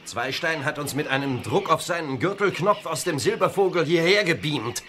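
An actor playing an earnest young adventure hero in an audio drama, with urgent delivery. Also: male voice, fairly high pitch, lively intonation, normal speed, a bit bright, slight background noise. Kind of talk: German audio drama acting.

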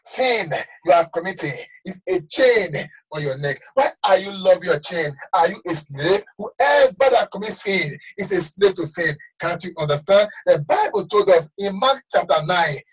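A man preaching a sermon with fervor, shouting one moment and dropping to hushed warnings the next. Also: high voice, expressive, moderate pace, hardly any pauses, husky. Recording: voice from far off the mic.

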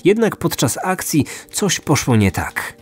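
A man's voice: on the high side, very animated, unhurried, breathy edge. A man in his thirties hosting a true crime podcast with scripted narration. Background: music.

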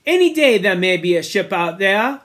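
A man's voice: high voice, sing-song, normal speed. A man reading aloud and voicing a boy who leads a group of stranded schoolboys, calling out commands and speaking earnestly about rescue and rules. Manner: loud, urgent calls.

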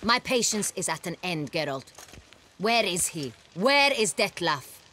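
An actress playing an imperious, impatient duchess in a fantasy video game, speaking in a haughty, formal, cutting way. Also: female voice, lowish voice, sing-song, a little slow, pushed and bright, full character voice. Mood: angry, impatient. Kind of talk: dramatic video game acting.

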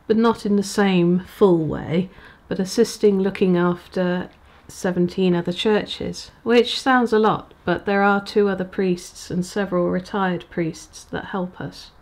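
Woman vicar, forties, home vlog, a bit gravelly, mid pitch, chatty with restarts. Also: a little mellow. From England. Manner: chatty, halting with small restarts. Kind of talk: casual vlog to camera. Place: small home room indoors. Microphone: handheld recorder near the camera.

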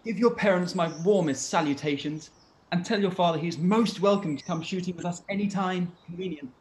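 Man playing a higher-voiced amiable young gentleman. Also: brisk, a little hiss or hum, natural voice, poor audio. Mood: cordial. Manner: polite, formal. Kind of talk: live-read period radio play. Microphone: video call.

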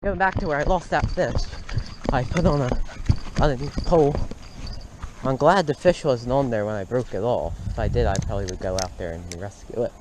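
A young man narrating casually to viewers while fishing outdoors, with relaxed, muttered asides, recorded on a head-mounted camera. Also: mid-range voice, brisk. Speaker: fishing vlogger. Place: outdoors by a lake and river. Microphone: head-mounted action camera. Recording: noisy outdoor action-camera audio. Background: outdoor ambience.

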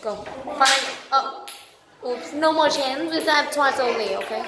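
A woman helping in a children's English class, giving quick prompts and simple directions to the kids. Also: sits high. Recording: echoey classroom, loud noise.

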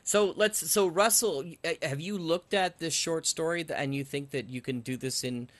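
A man's voice: a little high, moderate pace, a bit bright, dry recording. A man hosting a casual interview about his field, talking in an enthusiastic way. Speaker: podcast host and audio drama writer.

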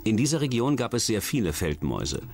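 Male narrator, low and expressive, measured dramatic documentary read, faint music underneath. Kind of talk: scripted true-crime science documentary narration.

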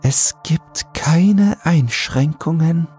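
Man putting on an eerie, high, inhuman woman. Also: a little mellow, breathy edge, faint music behind, heavily acted. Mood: serene, inviting. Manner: slow, drawn-out, luring speech. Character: eerie, benevolent, inhuman tall female being.

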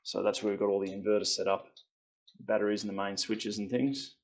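Laid-back Australian man with a lowish voice. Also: fast. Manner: relaxed, chatty, pointing out features as he walks. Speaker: boat owner giving a tour. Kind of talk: casual walkthrough tour. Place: inside a timber boat's cabins.

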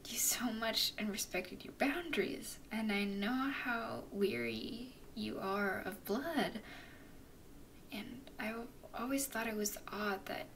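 A woman playing a tender, doting vampire girlfriend in a soothing ASMR roleplay, mostly in breathy whispers. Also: a little high, fairly bright tone, recorded from a distance, a little hiss or hum. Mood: hesitant, tender. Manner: soothing, affectionate, unhurried. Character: tender, doting female vampire girlfriend comforting her nervous partner.

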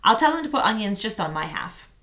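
A woman's voice: medium pitch, sing-song, fast, a bit bright. A woman voicing a busy, affectionate mother in a children's story, chatty and caring. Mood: affectionate, hurried.

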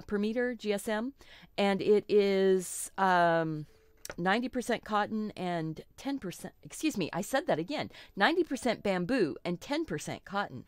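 A middle-aged woman with a slightly froggy voice, hosting a relaxed live painting lesson and chatting reassuringly with little asides and self-corrections. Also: mid-range voice, clean tone, dry recording. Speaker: art teacher hosting a live stream.

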